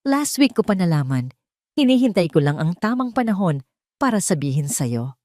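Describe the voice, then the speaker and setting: A woman's voice: fairly low pitch, very animated, moderate pace, mellow, no room sound, studio quality. A woman narrator voicing an ambitious, calculating mistress in an audio drama, eager and probing, mixing Tagalog and English. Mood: intent, vulnerable.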